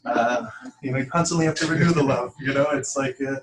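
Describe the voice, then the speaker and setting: Man teaching informally, distant noisy recording. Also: mid-range voice. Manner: halting, conversational, addresses listeners as friends. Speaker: class teacher. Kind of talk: informal spiritual lesson to a small group. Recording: distant room mic with background noise.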